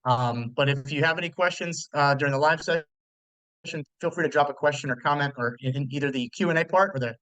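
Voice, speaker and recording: Chatty loan officer running a webinar. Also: male voice, a little high, fairly quick, a bit bright, no room sound, low-quality recording. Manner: loose, chatty, many fillers and restarts. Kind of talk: casual live webinar presentation.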